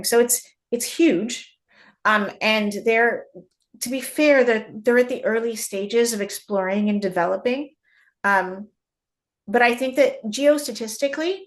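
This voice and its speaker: A woman originally from Canada, a mining industry guest in an interview, explaining at length with frequent restarts and repeated words. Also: on the high side. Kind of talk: conversational interview.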